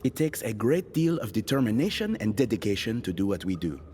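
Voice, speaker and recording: A man playing a calm, superior killer in an audio drama, measured and condescending. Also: lowish voice, a little hiss or hum. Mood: cool condescension.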